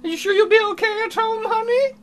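A man voicing a fussy, needy mother in an exaggerated comic falsetto for a visual novel. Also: fast, fairly bright tone, a little hiss or hum. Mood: fussy, sweet.

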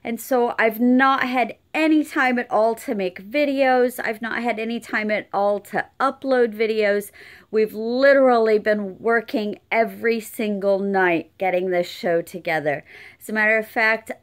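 A middle-aged woman giving a casual update from home, tired and a little hoarse, chatting with many fillers. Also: a little high. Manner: chatty, unhurried, lots of fillers. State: tired, losing her voice. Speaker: channel host. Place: cluttered room at home. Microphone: webcam close up.

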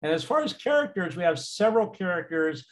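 Man, teacher voice over slides, relaxed and casual, many fillers. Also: high voice, brisk. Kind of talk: recorded slideshow lecture.

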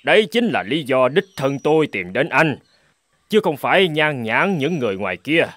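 A man playing a big, crafty fur trader in an animated folk tale, with a measured, drawling, persuasive delivery. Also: mid-range voice. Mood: sly, flattering. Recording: music behind the voice.

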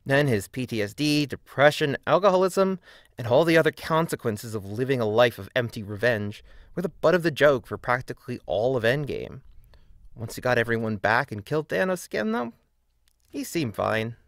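Lively male video essayist, dry sound. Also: mid-range voice, normal speed, no room sound. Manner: critical, argumentative, emphatic. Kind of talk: scripted video essay narration.

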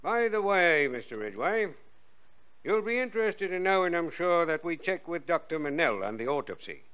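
An actor playing a brisk police inspector in a radio drama, official and commanding. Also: male voice, fairly high pitch, very animated, fairly bright tone, smooth. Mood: authoritative. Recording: slightly dull, lo-fi audio.

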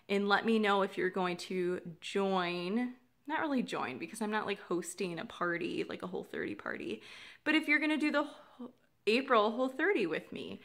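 A woman's voice: mid-range voice, a bit bright. Casual young woman vlogger, upbeat and chatty, mid-range voice. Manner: chatty, upbeat, many ums and likes. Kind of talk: casual how-to vlog. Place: home room indoors, then a desk.

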